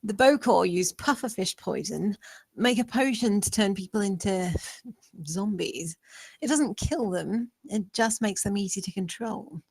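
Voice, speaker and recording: Actress reading a calm sure-of-herself woman in a film drama, lots of vocal fry, mid pitch. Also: unhurried, dry recording, heavily acted. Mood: quietly convinced. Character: calmly certain, consoling woman with conspiracy theory. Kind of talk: screenplay table read acting.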